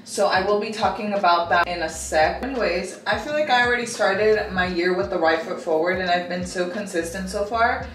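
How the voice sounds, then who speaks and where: A woman's voice: medium pitch, brisk, few pauses, far from the mic. A young woman in her twenties talking earnestly and casually about her fitness goals as a vlogger. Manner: earnest, reflective, chatty with frequent "like". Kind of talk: casual vlog voice-over and talk to camera. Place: home bathroom and bedroom, indoors. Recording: roomy sound from off the mic, music behind. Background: soft background music.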